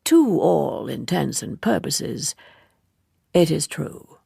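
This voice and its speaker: A woman plays a cool, worldly man in a literary audiobook. He is evasive and unhurried, his voice low and heavily creaky, with formal phrasing. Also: big pitch swings, warm tone, dry recording, clean studio sound, exaggerated voice. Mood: cool, detached. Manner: slow, detached, formal.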